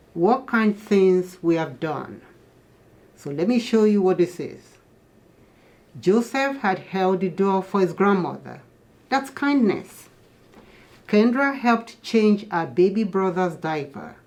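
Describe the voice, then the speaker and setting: A woman's voice: mid-range voice, animated, takes it slowly, a little mellow. A woman reading a children's picture book aloud in a teacherly manner, explaining things to young listeners. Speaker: guest storybook reader.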